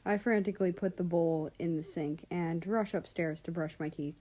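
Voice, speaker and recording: A woman's voice: lowish voice, fairly even intonation, normal speed, dark tone. A woman reading fan fiction aloud as a first-person narrator.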